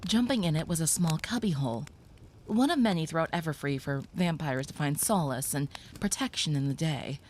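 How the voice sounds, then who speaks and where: Woman playing a gentle whispering vampire girl. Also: low voice, lively intonation, brisk, a little hiss or hum. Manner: soft, soothing, often whispered. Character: gentle young female vampire caring for a human. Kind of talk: audio roleplay.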